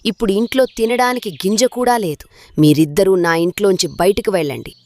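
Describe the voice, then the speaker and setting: A woman's voice: medium pitch, fairly quick, a little mellow, slight background noise, lightly acted. A woman voicing a greedy, domineering older mother-in-law bird in a children's cartoon story, bossy and scolding. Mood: harsh, scolding. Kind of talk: children's animated story dialogue.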